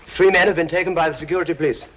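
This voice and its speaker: A gracious young king in a 1940s film. His voice is a little high and husky, and he speaks quickly with polite formality. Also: fairly even intonation, fairly bright tone. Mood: reassuring. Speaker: supporting film actor.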